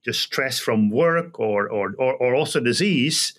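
A middle-aged man with a Dutch accent talks as an expert guest in an interview. His pitch is medium, and he explains things haltingly, doubling back on words as he goes. It sounds like a video call from a small office. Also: lively intonation, a bit bright. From Netherlands. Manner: hesitant, halting, often repeating words. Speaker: guest, animal genetics researcher. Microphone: computer video call.

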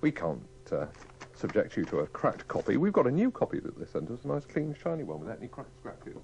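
A man's voice: medium pitch, sing-song, fast, gravelly, a little mellow. A man working as a radio DJ, casually ad-libbing live to his listeners. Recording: dull old radio tape with faint music.

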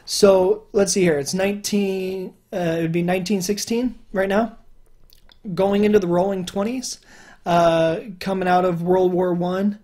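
A young man with a high-pitched voice that people on the phone often take for a woman's. He talks casually to a webcam from his room at home, rambling with fillers and restarts. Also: steady tone, a little slow, a little mellow. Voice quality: higher-pitched male voice, sometimes mistaken for a woman. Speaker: YouTuber. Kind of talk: casual solo commentary.

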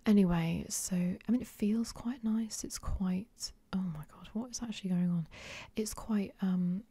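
A woman whispers right into a close microphone for an ASMR try-on, her voice breathy and mellow in a dry, deadened space. She sits a little low in pitch and keeps trailing off, hesitant and unsure, with plenty of soft ums. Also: a little slow, dry recording. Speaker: ASMR creator.

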